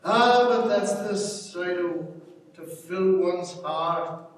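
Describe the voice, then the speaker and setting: Stern, land-proud patriarch played by a man, stage reading; slow, highish voice, heard from afar in a ringing hall. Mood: proud, solemn. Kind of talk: dramatic stage reading of an Irish rural play. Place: large reverberant hall, recorded from afar. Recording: echoey hall, distant microphone.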